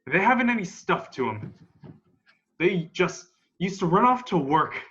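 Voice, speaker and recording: Young man acting a high-pitched, grim militia survivor. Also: big pitch swings, unhurried, a bit bright, full character voice. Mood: defiant. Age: young adult. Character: grim, defiant militia survivor of an alien invasion. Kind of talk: amateur radio play acting. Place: room at home. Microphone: video call. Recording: dull, lo-fi video call.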